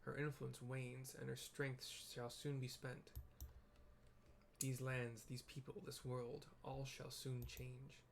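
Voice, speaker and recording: A man's voice: mid-range voice, completely flat delivery, slow pace, creaky, a little hiss or hum. A man reading aloud a video game's solemn, cryptic emissary of an ancient god, in formal, portentous phrasing. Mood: solemn, foreboding. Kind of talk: reading aloud game dialogue. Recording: music behind the voice.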